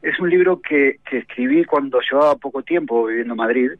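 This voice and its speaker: A man's voice: mid-range voice, a little fast. A man in his forties with an Argentine accent, a novelist being interviewed on the radio, who talks thoughtfully with lots of hesitations and tag questions. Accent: Argentine Spanish. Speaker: novelist guest. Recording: tinny, phone-like, dry radio sound.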